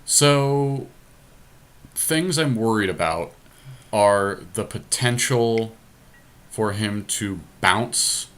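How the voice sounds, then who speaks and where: A man's voice: lowish voice, extremely slow, long pauses, fairly bright tone. A man casually narrating a card game as he plays, thinking aloud and weighing options step by step. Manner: deliberating, talks through options step by step. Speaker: podcast co-host playing and narrating an online card game. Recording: noisy amateur capture with background noise.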